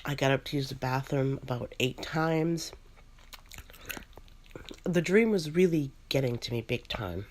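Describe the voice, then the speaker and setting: A woman chewing gum as she narrates a first-person ghost story in an ASMR style, pausing between short phrases. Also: extremely low pitch, a little hiss or hum. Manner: chews gum while narrating.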